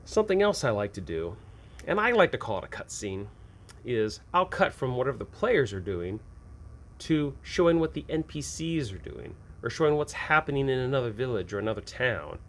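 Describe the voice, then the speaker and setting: A man's voice: mid-range voice, very animated, normal speed, a bit bright. An American man giving casual, unscripted advice as a game master show host, in a rambling, conversational way. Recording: clearly noisy ambient background.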